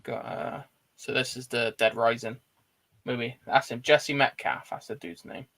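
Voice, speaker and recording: Creaky-voiced man in casual film chat. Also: mid-range voice, fairly even intonation, unhurried, pushed and bright. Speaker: film discussion show co-host.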